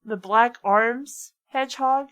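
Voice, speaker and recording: A woman with a fairly high voice chats casually as a commentator on amateur fiction. Her pace is a little slow. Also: a bit bright. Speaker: fan fiction reader and commentator. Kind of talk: casual banter.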